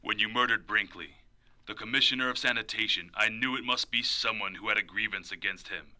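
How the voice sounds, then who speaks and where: An actor playing an invisible, calm and menacing male vigilante in an old-time radio play, speaking in a measured and ominous way. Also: fairly low pitch, rough recording. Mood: cool.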